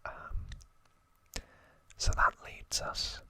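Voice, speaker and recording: Whispering man, very slow ASMR delivery. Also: no echo. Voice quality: soft, breathy whisper. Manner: unhurried, in short broken phrases. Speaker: host of an ASMR channel. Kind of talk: whispered ASMR trivia narration.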